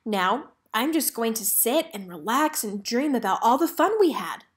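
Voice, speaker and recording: A woman voicing a jolly, affectionate old country granny in a children's storybook, folksy and warm-hearted. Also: a little high, big pitch swings, normal speed. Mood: contented.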